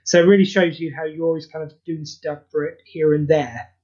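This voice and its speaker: A man's voice: on the high side, little pitch movement, brisk, a little mellow. A man being interviewed as a writer, chatting casually in a rambling way over an internet call. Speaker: interview guest, audio drama writer. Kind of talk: casual chat. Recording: slightly dull internet call.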